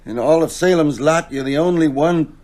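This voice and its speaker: A man's voice: fairly high pitch, moderate pace, a little mellow, close to an everyday voice. An actor playing an anxious, grave, educated man in a radio drama, speaking with terse, earnest urgency. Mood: haunted, earnest. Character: anxious, educated male friend, grave and haunted.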